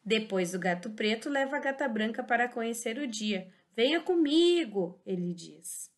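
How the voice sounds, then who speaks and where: A woman teacher giving a slow, animated storybook reading for small children, in a Brazilian Portuguese accent. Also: a little high. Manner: sing-song, exaggerated expressive reading. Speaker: teacher reading a picture book.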